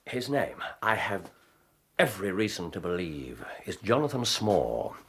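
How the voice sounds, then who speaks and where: An actor with an English accent playing an incisive, sardonic, self-assured gentleman detective in a radio drama, speaking precisely. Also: deep voice, very animated, a little slow, husky, heavily acted. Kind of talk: British radio drama acting.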